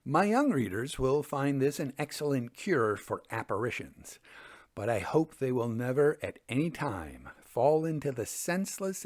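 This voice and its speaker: A man reading Victorian ghost tales as a storytelling host, in a measured, deliberate and expressive way. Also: medium pitch. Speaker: host and reader of ghost stories.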